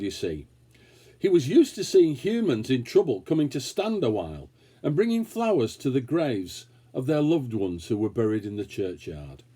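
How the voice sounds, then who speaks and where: Man, children's story narrator, expressive, very varied pitch, mellow, dramatic pauses. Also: breathy edge. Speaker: story reader.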